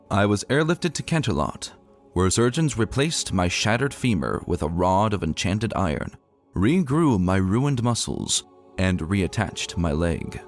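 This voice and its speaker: A man's voice: low-pitched, exaggerated voice. A man playing an earnest, self-deprecating wounded soldier prince, a stallion narrating his own dramatic story.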